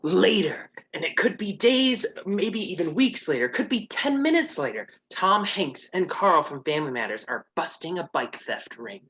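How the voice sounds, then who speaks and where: A woman's voice: fairly low pitch, lively intonation, moderate pace, hardly any pauses, a bit bright, a little hiss or hum. A woman narrating a comedic radio play in a sassy, theatrical manner.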